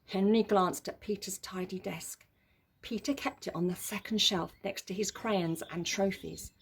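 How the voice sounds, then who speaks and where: A woman's voice: medium pitch, fairly even intonation, normal speed, a little hiss or hum. A woman narrating a children's story in a dramatic, emphatic way. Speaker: story reader.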